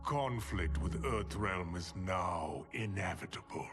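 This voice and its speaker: An actor playing a scheming, ambitious general in a video game, speaking formally and with command in a gravelly voice. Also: male voice, low-pitched, expressive, slow, fairly bright tone, exaggerated voice. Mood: coldly menacing. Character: scheming, ambitious general plotting to seize a throne. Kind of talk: dramatic video game cutscene acting. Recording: dramatic music behind.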